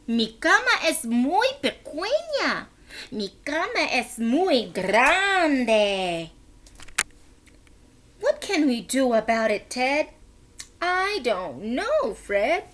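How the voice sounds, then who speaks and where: A woman voicing excitable dogs from a children's storybook in an exclamatory, exaggerated way. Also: high voice, extremely slow, full character voice. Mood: complaining, puzzled. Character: excitable storybook dogs, one big and one little.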